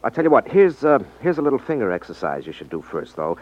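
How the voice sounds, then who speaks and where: A man's voice: mid-range voice, very animated, quick pace, a little mellow. An actor playing a sly ex-magician con man in a 1950s radio drama, talking in a wry, mock-polite, hesitant way. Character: smooth, wry male ex-magician con man, feigning innocence. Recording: dull vintage 1950s radio recording.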